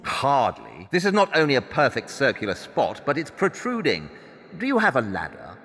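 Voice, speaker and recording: Husky, incisive detective, wildly expressive. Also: male voice, mid-range voice, normal speed, full character voice. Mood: intent. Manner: curt, probing, self-assured. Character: brisk, incisive, commanding male detective. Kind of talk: audio drama acting. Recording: echoing hall reverb.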